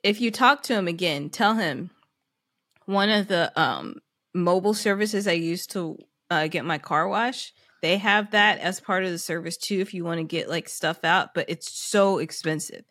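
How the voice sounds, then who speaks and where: A woman's voice: lowish voice, normal speed, fairly bright tone, no room sound, studio quality. A woman chatting casually as a co-host on a comedy podcast. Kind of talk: casual chat.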